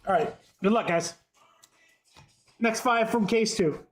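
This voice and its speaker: A man's voice: sits high, measured pace, soft background music. A congested young man with a head cold, chatting upbeat on a live stream while he rattles off cards. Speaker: card break streamer. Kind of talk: live-stream card break commentary.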